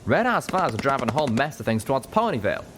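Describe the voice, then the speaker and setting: A man's voice: mid-range voice, very animated, quick pace, a bit bright, a little hiss or hum, pitched above the performer's own voice. A man voicing a folksy male cowboy pegasus with a drawl in a story reading. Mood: matter-of-fact.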